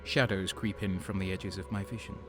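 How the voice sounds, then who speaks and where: An actor playing a cynical, faithless deserter soldier on edge, narrating an audio drama in a brooding voice that drops into whispers. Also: male voice, sits low, fairly even intonation, close to an everyday voice. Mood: uneasy. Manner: slow, brooding, breaking into whispers. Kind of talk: audio drama first-person narration and dialogue. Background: music.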